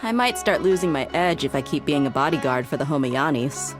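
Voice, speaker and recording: A confident, adventurous mercenary from a video game. Her voice is fairly low and lively, with a casual, self-assured swing, over faint music. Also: a little fast. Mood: confident, teasing. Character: bold, confident female mercenary bodyguard, adventurous.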